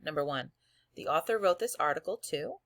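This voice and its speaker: A woman with a slightly low voice, recorded close and dry. She works as an elementary teacher, reading aloud to her pupils and guiding them step by step. She addresses the class directly and encourages them as she goes. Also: lively intonation, moderate pace, fairly bright tone, no echo.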